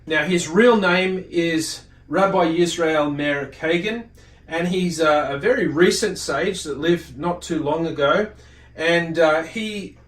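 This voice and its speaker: Guy giving a religious lesson, halting and rambly, kinda far from the mic, echoey room. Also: a little high. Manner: halting, deliberate, rambling with self-corrections. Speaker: religious teacher. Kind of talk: informal religious lecture, reading and explaining from a book. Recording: roomy echo, distant microphone.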